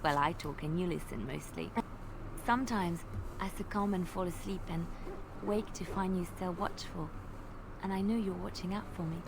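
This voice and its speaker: A woman playing a gentle, bashful bard adventurer in a fantasy video game, earnest, hesitant and playful. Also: lowish voice, moderate pace. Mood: tender, reflective. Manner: soft-hearted, hesitant, earnest. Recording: clearly noisy game playback.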